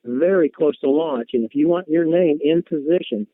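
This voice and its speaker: A man's voice: fairly high pitch, moderate pace, no room sound. Salesman on a tinny phone line. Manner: folksy, repetitive, encouraging. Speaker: presenter on a business opportunity conference call. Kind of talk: informal sales pitch. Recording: muffled phone conference line.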